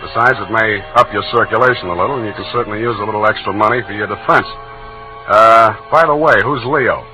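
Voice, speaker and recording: An actor playing a wisecracking, sardonic hard-boiled private detective in a 1940s radio style, talking in wry, clipped slang. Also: male voice, on the low side, quick pace, over music, close to an everyday voice, poor audio. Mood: grave, wry. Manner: slangy, wry, clipped tough-guy talk. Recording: old radio drama recording.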